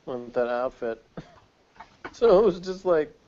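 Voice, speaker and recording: A man's voice: a little high, animated, a little slow, mellow. A man co-hosting a review show, chatting informally and bluntly. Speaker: podcast co-host reviewing TV episodes. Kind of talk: casual chat. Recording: dull, lo-fi recording.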